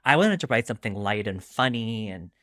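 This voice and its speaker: A man chatting casually as an interview guest, animated and self-deprecating, often quoting his own thoughts. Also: fairly low pitch, fairly quick, dry recording, clean studio sound. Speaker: guest author discussing his debut novel.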